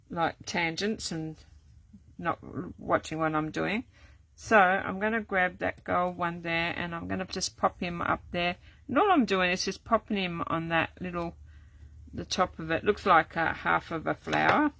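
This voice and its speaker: An older woman, chatting casually through a live card-making demo, rambling with many ums and restarts, her voice going croaky. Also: lowish voice, measured pace, bright, forceful tone, no echo. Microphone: camera set up at desk.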